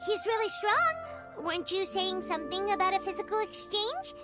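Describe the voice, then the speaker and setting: A woman voicing a small, hyperactive female fairy companion in a video game, bouncy and excited. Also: normal speed. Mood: pushy. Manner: excited, bouncy, high-pitched. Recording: game audio with loud background music.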